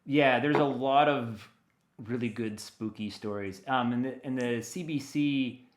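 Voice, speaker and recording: An American man talking casually and enthusiastically as an interview guest, animated and given to rambling asides. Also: medium pitch, measured pace. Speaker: interview guest, audio dramatist.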